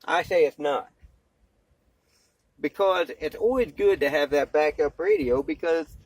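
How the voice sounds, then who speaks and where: A man's voice: on the high side, a little slow, no echo, rough recording. Folksy man rambling casually to his phone. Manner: folksy, plainspoken, slangy. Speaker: amateur vlogger and CB radio hobbyist, former truck driver. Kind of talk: casual rambling vlog talk. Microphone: cell phone.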